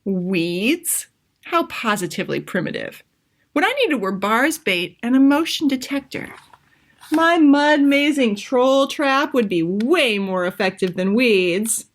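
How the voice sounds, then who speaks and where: A woman voicing a spirited, stubborn, inventive young girl with an expressive, dramatic manner in a children's picture book. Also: on the high side, slow. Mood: determined.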